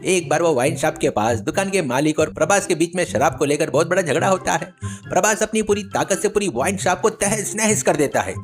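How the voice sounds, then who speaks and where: A pushy village braggart, acted out by a male storyteller, talks in a raised, high voice. He is a boastful idler in a story cartoon, always insisting and wheedling. Music plays behind him. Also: lively intonation, fairly quick. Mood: insistent, excited. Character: boastful, idle village chatterbox man, pushy tall-tale teller.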